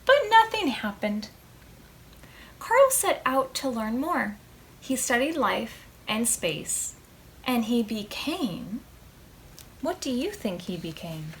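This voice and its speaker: Slow, high-voiced woman reading to preschoolers. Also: a little hiss or hum. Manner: expressive, with questions to young listeners and drawn-out words. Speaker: librarian storytime host. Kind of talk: animated picture-book read-aloud for preschoolers.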